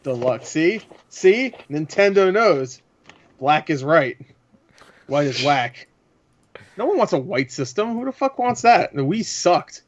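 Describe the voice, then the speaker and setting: A man's voice: fairly high pitch, sing-song, slow, dull audio, a little hiss or hum. A young man co-hosting a casual gaming podcast, laughing often and swearing casually. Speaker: podcast co-host.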